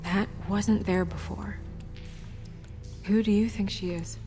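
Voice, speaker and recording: A poised woman played by an actress in a dramatic video game. Her voice sits at an ordinary pitch, measured and articulate, with an earnest, headstrong edge. Music runs underneath. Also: fairly even intonation, mellow, lightly acted. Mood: troubled.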